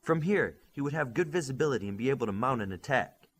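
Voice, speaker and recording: A man's voice: medium pitch, lively intonation, fast talker, slightly rough. A man voicing a young, earnest superhero squad leader in an audiobook, giving orders in an emphatic briefing style. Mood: firm, instructive. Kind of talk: audiobook character dialogue.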